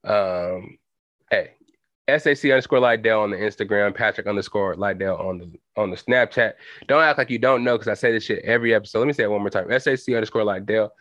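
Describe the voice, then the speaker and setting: A man's voice: fairly low pitch, quick pace, a bit bright, no echo. Young man, podcast host, casual slangy rambling, dry close sound, dull lo-fi audio. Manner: loose, slangy, rambling with many fillers. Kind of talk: solo casual podcast chat. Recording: dull lo-fi home recording.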